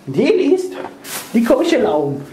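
A young man playing a silly foreign tour guide in halting, mock-formal broken German, over-explaining everything to the camera. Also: extremely high pitch, wildly swooping pitch, heavily acted. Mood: mock-earnest. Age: young adult. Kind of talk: comic room tour to camera. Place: small tiled hotel bathroom. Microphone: handheld camcorder. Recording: echoey tiled bathroom, noisy camcorder.

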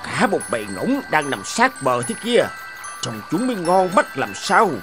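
Hungry evil cartoon fox, taunting and gloating, voice swoops all over, loud music behind. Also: male voice, fairly high pitch, big pitch swings, a little slow. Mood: hungry, scheming. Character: sly, greedy, menacing hungry male fox villain. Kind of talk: children's cartoon voice acting.

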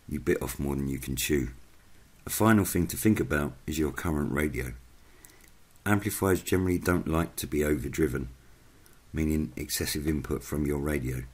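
A man reading a scripted explainer narration in a measured, instructional way. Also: extremely low pitch, a little mellow.

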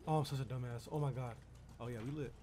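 A man's voice: medium pitch, steady tone, fast, warm tone. A man streaming an online role-play game, chatting casually in street slang and firing off repeated phrases. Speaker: streamer role-playing a gang member. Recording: noisy gaming headset microphone.

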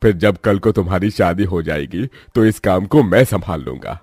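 A man voicing a proud, happy village father in an animated moral story, with hearty exclamations. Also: low voice, moderate pace, husky, dry recording, clean studio sound. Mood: pleased.

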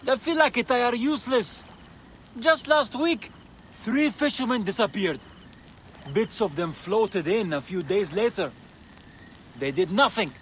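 An embittered, suspicious villager. He speaks in a high, agitated voice full of scorn, over faint ambient noise. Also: lively intonation, unhurried, pauses often, fairly bright tone. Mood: bitter, scornful.

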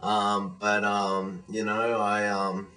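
A man's voice: lowish voice, completely flat delivery, slow pace, bright, forceful tone, far from the mic. A man in his twenties with an Australian accent, talking frankly in a casual webcam video reply from his living room, rambling with many fillers and restarts. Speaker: vlogger. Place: living room at home, indoors. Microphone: webcam at desk distance.

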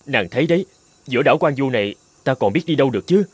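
Earnest, fast-talking captive chieftain. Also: male voice, medium pitch, animated, slightly rough, no room sound. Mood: subdued, pleading. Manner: earnest, pleading. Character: brawny captive island chieftain, anxious but honorable. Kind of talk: dubbed fairy-tale cartoon acting. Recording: prominent music behind the voice.

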